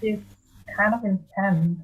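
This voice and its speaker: A woman's voice: medium pitch, takes it slowly, narrow, tinny audio, natural voice. A woman playing an awkward, earnest boy who is new at camp, hesitant, in a musical theatre table read.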